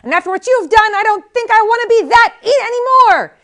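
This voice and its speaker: A woman voicing a tiny ancient wife who scolds in a shrill, furious way. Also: a little fast, fairly bright tone. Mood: shrieking.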